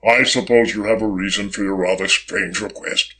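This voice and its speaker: A man voicing a brusque, authoritative gentleman speaking sharply to a servant in an audiobook. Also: on the low side, steady tone, moderate pace, pitched below the performer's own voice. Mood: curt. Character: curt, commanding gentleman, brusque with his servant.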